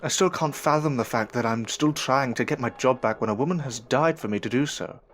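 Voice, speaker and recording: A man playing a troubled, earnest gentleman in a period audio drama, speaking formally and hesitantly. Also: mid-range voice, brisk, lightly acted. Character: troubled, earnest gentleman haunted by newfound powers. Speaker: voice actor and narrator. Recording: noisy amateur audio drama recording.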